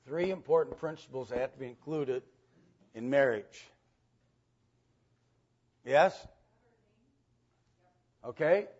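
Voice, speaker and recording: A man teaching an informal Bible lesson to a church group, with halting delivery and frequent pauses to clear his throat. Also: mid-range voice, darkish tone, slight background noise. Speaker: church Sunday school teacher. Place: church room with a group present. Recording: dull, lo-fi church recording.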